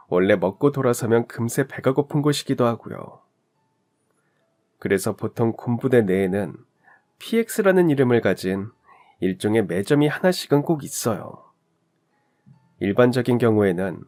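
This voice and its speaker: A man's voice: mid-range voice, darkish tone. A man narrating a true-life story in a radio-drama style, talking to listeners in a conversational way. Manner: conversational, addressing listeners directly.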